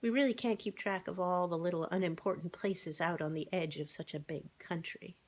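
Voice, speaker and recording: A woman's voice: on the low side, brisk. Woman reading as prim, self-important male woodpecker. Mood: smug. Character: haughty, polite male woodpecker from Washington. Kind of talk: children's storybook read-aloud.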